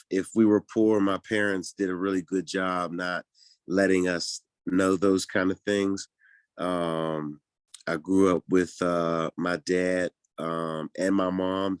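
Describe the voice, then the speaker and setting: A man's voice: low-pitched, clear, resonant tone, no echo, studio quality. A man in his thirties from Pittsburgh, talking easygoingly as a podcast guest, with many ums and restarts. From Pittsburgh, United States. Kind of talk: casual interview talk.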